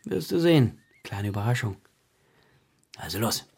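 A man playing a tired composer father, gruff but tender, with dry, laconic delivery in a German radio play for children. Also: medium pitch, big pitch swings, moderate pace, noisy background. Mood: gently teasing.

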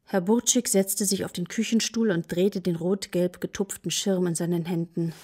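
A woman as the calm narrator of a children's radio play, reading scripted story passages. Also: fairly low pitch, moderate pace, slight background noise, lightly acted.

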